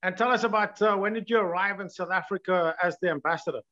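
A man in his thirties hosting an interview over a video call, asking polite, brisk questions. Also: high voice, bright, forceful tone, dull audio. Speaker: talk show host. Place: virtual background. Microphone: video call webcam.

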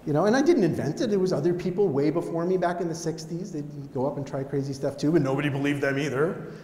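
A middle-aged American man gives a motivational keynote in a fairly high voice. He talks conversationally and acts out bits of dialogue as he goes. The sound rings through a reverberant auditorium with some background noise. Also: quick pace, warm tone. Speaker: keynote speaker. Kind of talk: motivational storytelling talk. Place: on stage in an auditorium. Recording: echoey hall with background noise.

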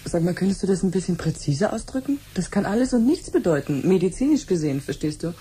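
An actress playing a shrewd middle-aged doctor and aunt in a 1970s radio play, dry and precise. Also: female voice, lowish voice, big pitch swings, quick pace. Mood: wry. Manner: dry, gently mocking, precise. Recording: faintly noisy 1970s radio recording.

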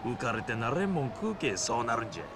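Brash Hiroshima yakuza underboss, lively pitch. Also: male voice, very noisy. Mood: scolding. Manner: rough dialect, blunt scolding. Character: brash, gruff male yakuza underboss, loyal to his elder. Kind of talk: acted video game cutscene dialogue.

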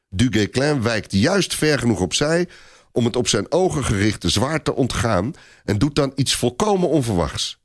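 Very animated male storyteller, lowish voice. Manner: theatrical, suspenseful. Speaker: narrator. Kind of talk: dramatic scripted storytelling.

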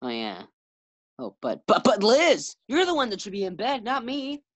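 A woman's voice: on the high side, huge pitch swings, normal speed, no room sound. A woman acting an anxious, doting, befuddled husband who stammers, in a 1940s-style radio sitcom. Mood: dazed, flustered.

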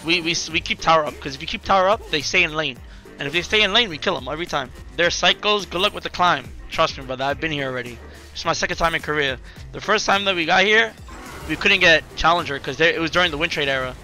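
A man's voice: fairly high pitch, fast talker, bright, forceful tone, faint music behind, low-quality recording. A man in his twenties giving casual live game commentary, firing off repeated short callouts with slang and swearing. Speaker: streamer. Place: indoors in a furnished room.

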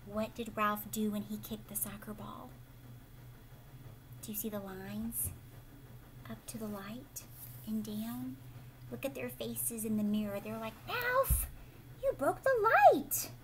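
An American woman in her thirties reads a picture book aloud to children expressively, pausing to ask the listener questions. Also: mid-range voice, background noise. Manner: animated, prompting listeners with questions. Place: living room at home, indoors.

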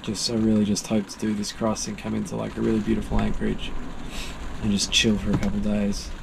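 A man's voice: fairly low pitch, completely flat delivery, quick pace, creaky, background noise. A worn-out man in his thirties, rambling through a casual recap with lots of ums while lying in the cramped cabin of a small boat. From Australia. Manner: rambling, many ums and restarts. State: exhausted. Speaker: solo sailor vlogger. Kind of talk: tired casual recap to camera. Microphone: camera close in front, lying down.

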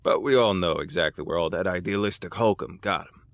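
Man acting as a smug, low-voiced crime boss. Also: no room sound. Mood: wistful, nostalgic. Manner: drawling, patronizing, deliberate. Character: smug older male crime boss, menacing and folksy. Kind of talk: dramatic audio drama acting.

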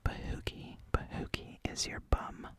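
An ASMR host in a breathy, unvoiced whisper, softly explaining slang with hesitant pauses and repeated words.

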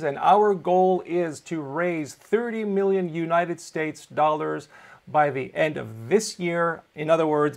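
A man's voice: fairly high pitch, vocal fry. A middle-aged man from Greece, hosting a live online show from a small video studio with enthusiastic energy. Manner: upbeat, enthusiastic presenter. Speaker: host.

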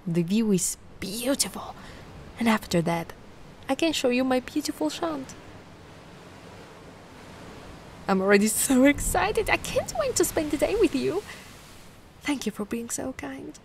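A giant mermaid girl, acted by a woman in an ASMR roleplay, with a high voice that swoops and lilts widely. She is bubbly and affectionate, cooing and gushing in a cutesy way. Ambient noise runs clearly behind her. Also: big pitch swings, normal speed, full character voice. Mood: excited, tender. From Italy. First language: Italian. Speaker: roleplay performer.

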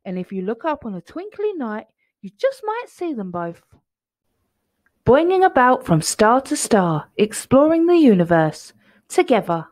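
A woman's voice: fairly high pitch, big pitch swings, unhurried, a little mellow, no room sound. A woman reading a children's picture book aloud in a storytelling style.

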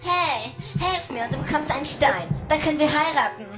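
A man's voice: very high voice, animated, brisk. A man voicing a demanding young fish princess in an amateur parody audio drama. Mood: coaxing. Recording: music under the voice.

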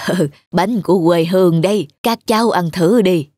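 Gentle, nostalgic old grandmother played by a woman. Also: on the low side, normal speed, lightly acted. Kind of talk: animated cartoon dialogue.

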